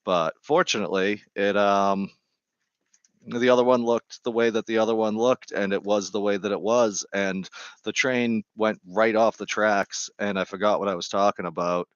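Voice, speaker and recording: A man's voice: lowish voice, steady tone, moderate pace, smooth, no echo, lo-fi sound. A man with a New England accent chatting casually and rambling off on tangents while he gardens. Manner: chatty, digressive, self-deprecating. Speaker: gardening streamer.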